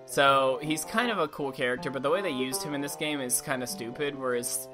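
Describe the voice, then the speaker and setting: A young man with a slight lisp giving casual, rambling commentary over a video game, swearing freely and now and then breaking into silly high voices. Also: a little fast. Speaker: let's play commentator. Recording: game music loud behind voice.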